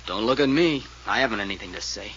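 An actor playing a sullen, defiant drunkard brother in an old radio mystery, surly and sarcastic. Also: male voice, fairly low pitch, lively intonation, fast, fairly bright tone, slight background noise. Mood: sullen, evasive. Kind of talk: 1940s radio mystery acting. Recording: dull old radio recording.

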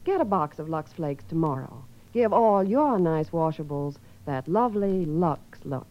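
A woman's voice: low voice, animated, a little slow. A woman reading a scripted 1950s radio advertisement as a commercial announcer. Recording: muffled vintage radio broadcast.

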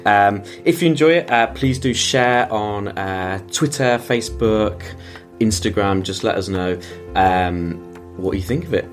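Male podcast host, lowish voice, halting delivery, music under the talk. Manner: halting, many fillers. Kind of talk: podcast intro.

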